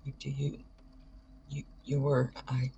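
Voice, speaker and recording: A man playing a shy, tender young man who stammers haltingly through audio drama dialogue in a very husky, creaky voice. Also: mid-range voice, completely flat delivery, dark tone, dull audio, slight background noise. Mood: nervous, longing.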